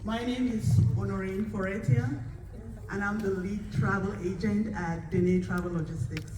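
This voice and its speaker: A woman from Cameroon, a travel agent, giving a friendly, urging pitch to an event crowd. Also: fairly even intonation, moderate pace. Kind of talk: short promotional pitch at an event. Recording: echoey room, distant mic, clear noise.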